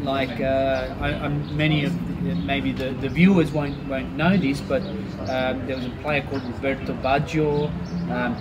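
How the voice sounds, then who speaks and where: A man, a football coach answering interview questions in an easygoing, chatty way. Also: mid-range voice. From Chile. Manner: easygoing, chatty, loose and friendly. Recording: loud traffic noise behind. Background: passing vehicles.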